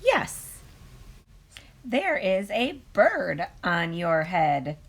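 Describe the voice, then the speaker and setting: A woman voicing a calm, matter-of-fact female pig in a picture book read-aloud, speaking in a deliberate way. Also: on the low side, full character voice.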